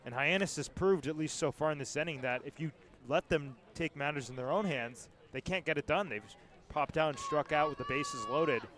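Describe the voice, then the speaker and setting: A man with a fairly high voice serves as color commentator at a baseball game. He gives live analysis between pitches, breaking down the players and tactics. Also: moderate pace, fairly bright tone.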